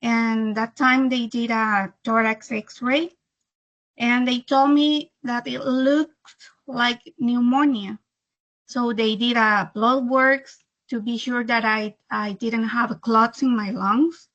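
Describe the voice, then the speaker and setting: Salvadoran lady, spanish accent, talks real slow n careful, kinda highish voice, muffled call audio. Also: steady tone, a bit bright. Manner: slow, careful, slightly halting second-language English. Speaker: webinar speaker. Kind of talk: personal testimony presentation. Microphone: computer microphone on video call.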